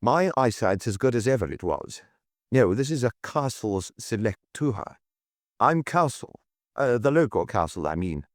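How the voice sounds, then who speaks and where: A man's voice: mid-range voice, big pitch swings, a little slow, no echo. A man voicing a breezy, wry young adventurer working as a tour guide, with a dry, teasing manner.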